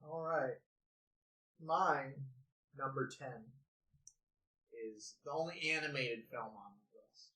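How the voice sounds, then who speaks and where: A man's voice: medium pitch, takes it slowly, long pauses, bright, forceful tone. A man from Hawaii co-hosting a movie podcast, talking casually with informal, self-mocking swearing. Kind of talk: casual chat about favorite films. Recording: echoey room, distant from mic.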